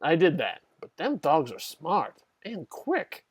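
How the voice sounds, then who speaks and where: Small-time crook for comic audio drama, played by a man: whiny wise guy, cowardly, very animated delivery. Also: on the high side, takes it slowly, husky, no room sound, exaggerated voice. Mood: flippant.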